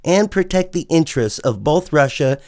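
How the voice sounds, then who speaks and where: An actor playing a serious news anchor in an audio drama, reading formal, measured and urgent scripted news. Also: male voice, fairly high pitch, animated, darkish tone, natural voice. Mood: grave, urgent.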